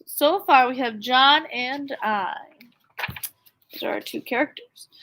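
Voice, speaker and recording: High-pitched, bright woman teacher reading aloud. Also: slow, a little hiss or hum, low-quality recording. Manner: expressive, addressing young children with questions and asides. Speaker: elementary school teacher. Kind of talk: children's story read-aloud with teaching asides.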